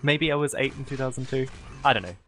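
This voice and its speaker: A young man around twenty, co-casting a stream, talking in relaxed, hesitant casual chat. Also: medium pitch, fast talker, soft background music. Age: young adult. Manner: hesitant, relaxed, trails off with fillers. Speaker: co-caster.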